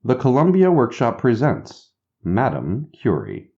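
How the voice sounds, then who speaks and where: A man's voice: mid-range voice, wildly swooping pitch, measured pace, dark tone, muffled recording, soft background music. A man as a formal, declamatory announcer reading a script in 1930s radio style.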